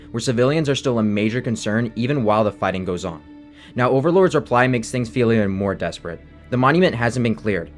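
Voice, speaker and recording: Male narrator, brisk delivery, scripted game analysis, soft music underneath. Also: lowish voice. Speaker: video essay narrator.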